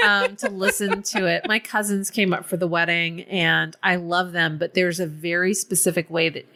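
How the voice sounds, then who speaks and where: A woman's voice: lowish voice, flat delivery, moderate pace, sharp tone. Blunt, laughing woman with an Ohio accent. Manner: blunt, profane, laughing often. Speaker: podcast co-host. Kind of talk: casual chat.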